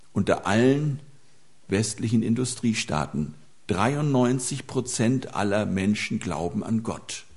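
A man delivers a sermon at an unhurried, slow pace. His voice sits on the low side, and he builds his points through anecdotes, stopping now and then to hesitate. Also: a little mellow. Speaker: preacher.

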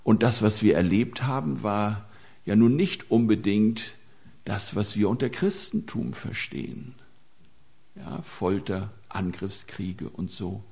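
A man delivers a sermon at an unhurried, slow pace. His voice sits on the low side, and he builds his points through anecdotes, stopping now and then to hesitate. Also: gravelly, a little mellow. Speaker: preacher.

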